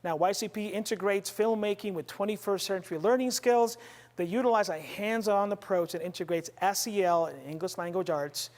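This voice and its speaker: A woman's voice: medium pitch, fairly quick, darkish tone. Woman presenter in a long reverb. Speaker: event presenter. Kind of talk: semi-scripted introduction.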